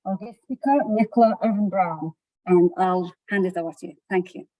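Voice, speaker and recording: A woman's voice: mid-range voice, brisk, mellow, no room sound, low-quality recording. A woman careers advisor hosting an online session, giving a brief, slightly hesitant introduction of a guest speaker.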